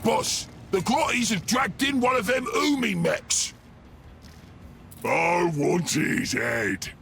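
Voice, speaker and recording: A man voicing a belligerent, bullying ork warboss in a video game, gruff, gravelly and shouting. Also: high voice, expressive, slow pace, soft background music, full character voice. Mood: demanding. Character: loud, belligerent male ork warboss pilot, greedy and bullying. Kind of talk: video game character acting.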